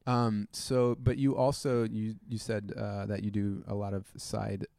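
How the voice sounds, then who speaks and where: A host asking casual interview questions in a relaxed, loose way, with lots of "um" and "uh" and easy laughter. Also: mid-range voice, a little slow, gravelly, dry recording, studio quality. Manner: relaxed, filler-heavy questions, laughs along.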